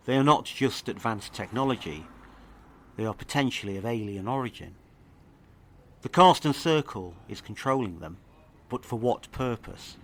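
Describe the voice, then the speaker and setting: A man's voice: lowish voice, a bit bright. Serious, ominous male mystery narrator. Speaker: presenter of a mystery podcast. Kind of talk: scripted investigative narration. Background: faint music.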